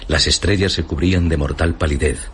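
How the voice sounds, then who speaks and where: An actor playing the storyteller of a fantastical tale, narrating dramatically in Castilian Spanish for radio theatre. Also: male voice, steady tone, fairly quick, a bit bright, a little hiss or hum. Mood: dramatic, ominous. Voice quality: deep.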